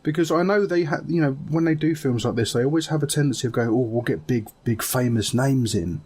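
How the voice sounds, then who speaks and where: A man with a somewhat husky, less polished voice, talking casually as a podcast co-host. His pitch sits in the middle of the male range and his delivery is conversational. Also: fairly quick, darkish tone. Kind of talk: casual group chat about games and films.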